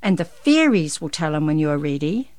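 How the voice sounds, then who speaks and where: A woman voicing a gentle, fond mother coaxing her little boy in a children's story. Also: sits low, very animated, a little fast, no room sound. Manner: soft, coaxing.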